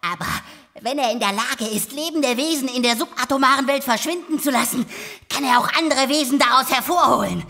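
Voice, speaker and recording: A woman's voice: high-pitched, brisk, pushed and bright. A woman playing a small malicious demonic dwarf in a horror radio drama, with a menacing, taunting delivery. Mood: reluctant, grudging. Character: small malicious demonic dwarf, a captive minion, pleading then gloating.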